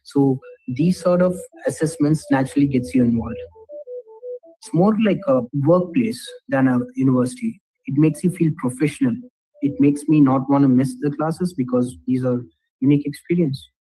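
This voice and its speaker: A man's voice: mid-range voice, moderate pace, mellow, faint music behind, poor audio. A young man giving a personal testimonial as a student living abroad. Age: young adult.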